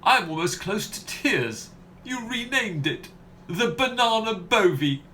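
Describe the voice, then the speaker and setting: A man's voice: high-pitched, sing-song, takes it slowly, bright, forceful tone, slight background noise, exaggerated voice. A man playing a pompous, self-regarding mystery novelist in a theatrical, condescending radio comedy manner. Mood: grandly self-important.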